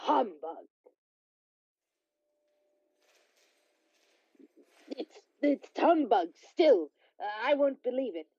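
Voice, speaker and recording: Radio play lead: crotchety old male skinflint played by a woman, slow and halting, dry muffled sound. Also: on the high side, very animated, mellow, no room sound. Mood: startled, disbelieving. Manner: slow, halting, curmudgeonly, with hesitations. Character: grumpy, skeptical old male miser, shaken by fear.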